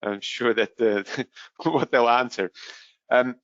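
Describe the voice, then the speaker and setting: A man's voice: mid-range voice, steady tone, slow, fairly bright tone, no room sound, highs cut off. A man from the Netherlands with a Dutch accent, answering webinar questions with many fillers and restarts. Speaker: vendor product specialist.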